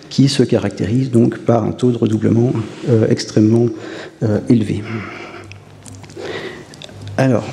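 A man's voice: mid-range voice, vocal fry, dark tone. A man giving an academic talk in a lecture hall, hesitant with many fillers and restarts. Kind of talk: academic conference presentation. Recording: long hall reverb.

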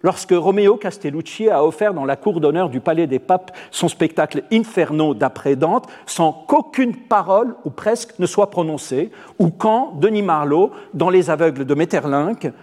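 Theatre director guy, arabic is his first language, husky voice, slow deliberate phrasing, lots of hall echo. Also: on the high side, animated, darkish tone. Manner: measured, eloquent, deliberate phrasing. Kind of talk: formal spoken essay on theatre. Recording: long hall reverb.

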